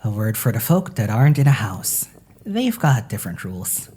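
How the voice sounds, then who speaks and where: A very deep, husky, earnest traveller. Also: female voice, big pitch swings, normal speed, dark tone, exaggerated voice. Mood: matter-of-fact. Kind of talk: naturalistic audio drama acting.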